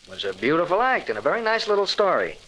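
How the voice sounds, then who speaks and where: Bright, wisecracking young newspaperman. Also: a little high, wildly swooping pitch, fast, rough recording. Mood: wry, defiant. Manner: slangy, wry. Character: wisecracking, cocky young newspaper photographer hero. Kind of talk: 1940s radio acting. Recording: hissy old 1940s radio recording.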